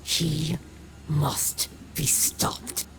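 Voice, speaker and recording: An actress playing an earnest, grave alien scholar in a video game, speaking in a measured, careful way. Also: female voice, low-pitched, little pitch movement, whispered, full character voice. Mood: grave, resolute. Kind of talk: video game voice acting, exposition.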